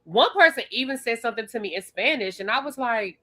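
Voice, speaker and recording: A woman's voice: on the high side, lively intonation, fast, bright, forceful tone. A woman from Washington, D.C. co-hosting a casual TV recap chat, blunt and emphatic, pressing her points. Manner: emphatic, blunt, repeats points with rising exasperation. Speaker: podcast co-host.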